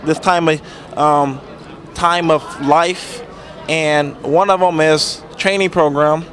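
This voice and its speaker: A man in his twenties, speaking earnestly in a halting way with many pauses and restarts about mentoring work. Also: on the high side. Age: 20s. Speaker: interviewee, youth mentor. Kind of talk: unscripted talk about community programs. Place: outdoors at a transit center.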